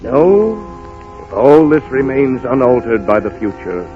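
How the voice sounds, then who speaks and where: Commanding spirit, widely swooping voice, muffled. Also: male voice, mid-range voice, big pitch swings, measured pace. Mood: grave. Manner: declamatory, scolding, solemn. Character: stern, commanding male Ghost of Christmas Present. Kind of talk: 1930s radio acting. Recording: muffled old radio broadcast, faint music.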